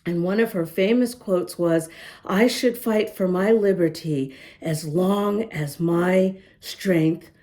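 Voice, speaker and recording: A woman reading a picture book aloud to young children, gently pausing to talk to them and show the pages. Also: mid-range voice. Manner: gentle, slow, talks to young listeners. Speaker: children's storybook reader. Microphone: camera close up, showing the book.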